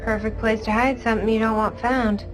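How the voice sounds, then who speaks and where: An actress playing a tough, wry undercover agent in a video game, brusque and sardonic. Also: female voice, on the high side, level tone, brisk, music in the background, lightly acted. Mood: urgent, guarded. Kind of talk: video game cutscene acting.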